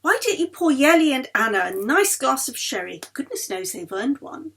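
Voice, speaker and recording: Woman reader as 1930s married hostess: persuasive, mildly bossy, higher-pitched with lilting swoops, audiobook drama. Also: sing-song, moderate pace, fairly bright tone, breathy edge, heavily acted. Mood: mock scolding. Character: coaxing, gently scolding society wife of the 1930s.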